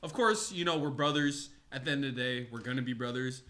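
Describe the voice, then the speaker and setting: A man's voice: mid-range voice, quick pace, a loud crowd. A man chatting casually as a podcast guest, telling stories in an informal, slangy way. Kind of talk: casual chat with swearing.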